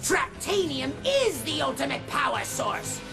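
A megalomaniac little dark wizard from a cartoon game, shrill and high. He proclaims bombastically and slowly, often close to shouting, with big swoops of pitch and music behind him. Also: big pitch swings, creaky, exaggerated voice. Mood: boastful. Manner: pompous, bombastic, taunting. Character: short, bald, megalomaniac male evil dark sorcerer. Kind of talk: over-the-top cartoon villain acting.

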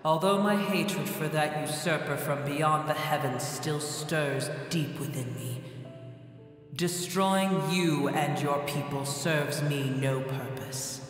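Game casting: ancient scornful dragon, fairly high for a male, slow and solemn, drenched in reverb. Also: a bit bright. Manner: solemn, measured, imperious. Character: ancient, proud, weary dragon, scornful of mortals. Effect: long cavernous reverb. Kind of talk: scripted video game dialogue. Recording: very echoey cavernous reverb, music underneath.

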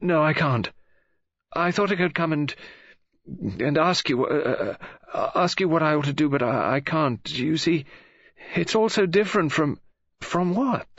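A nervous young woman of about twenty in a storybook, played by a male narrator. The voice is pitched high and breathy, swinging around as it hesitates, stammers and awkwardly apologises. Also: animated, measured pace, fairly bright tone, no room sound, muffled recording, heavily acted. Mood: flustered.

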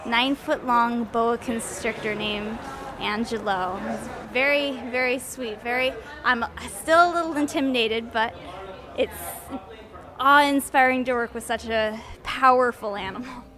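A woman's voice: on the high side, measured pace, bright, forceful tone. A woman performer talking earnestly about working in a magic show. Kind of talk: casual interview remarks.

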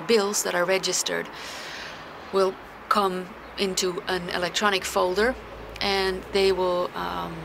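Blunt Finland-Swedish woman on a noisy balcony. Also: medium pitch. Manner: candid, rambling, blunt with swearing. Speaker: vlogger. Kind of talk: casual talking-head vlog. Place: outdoor balcony of an apartment block. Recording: noisy outdoor street ambience.

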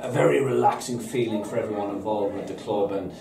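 Club reporter, chatty, reels off stats, lowish voice, echoey room, crowd behind. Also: male voice, fast talker, far from the mic. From Ireland. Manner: chatty, reels off results and names. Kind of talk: post-match interview questions.

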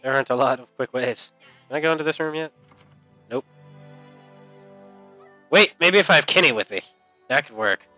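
A man with a bright, forceful voice talks through a video game in a loose, casual way. He mutters to himself and swears freely as he plays, with game music behind him. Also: mid-range voice, lively intonation, moderate pace, long pauses. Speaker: let's play host. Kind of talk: casual gameplay commentary.

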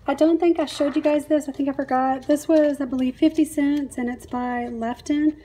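A woman in her forties, a reseller, chatting casually and excitedly to her phone camera about thrift finds, laughing often. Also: high-pitched, normal speed, distant mic. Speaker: reseller vlogger. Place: parked car, later a dim room at home. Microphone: phone camera at arm's length. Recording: phone mic held a bit away, noisy.

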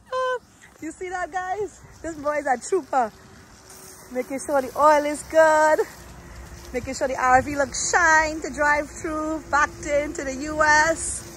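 A woman's voice: sits high, measured pace, a bit bright. A playful woman with a Caribbean accent hosting a casual, teasing vlog from behind the camera, often giggling. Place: outdoors in a parking area. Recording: noisy outdoor ambience. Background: outdoor and vehicle noise.

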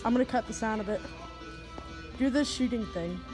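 A woman's voice: on the high side, moderate pace. A teenage girl filming friends on her phone in a shopping mall, talking casually, teasing and laughing often. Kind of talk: casual chat behind the camera. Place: large indoor shopping mall. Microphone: phone held in hand. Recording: busy mall crowd, music behind.

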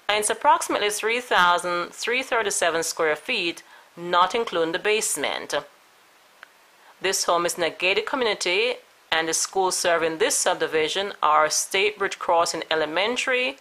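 Bright-voiced woman reading a home listing. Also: lowish voice, poor audio. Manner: measured, listing-style delivery. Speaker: real estate agent. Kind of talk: home tour voice-over.

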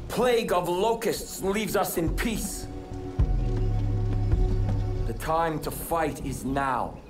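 An actor playing a middle-aged, fervent cult prophet and father in a video game, preaching in a measured, solemn manner over music. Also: on the high side, big pitch swings. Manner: slow, measured, biblical preaching. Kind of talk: dramatic game cutscene acting, preaching. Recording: music under the voice.

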